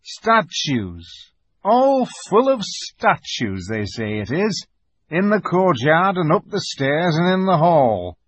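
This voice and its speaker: A man voicing a grave, kindly old rustic beaver in a storybook, speaking slowly and emphatically in a folksy, knowing way. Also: fairly high pitch, sing-song, husky, muffled recording, pitched above the performer's own voice, exaggerated voice. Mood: gloomy. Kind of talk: dramatized storybook reading.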